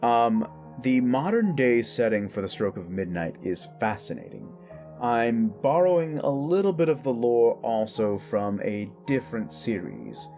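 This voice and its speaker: A man's voice: medium pitch, big pitch swings, unhurried, a little mellow, faint music behind. A man hosting his own audio channel, chatting in a rambling, enthusiastic, casual outro. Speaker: audio creator and host.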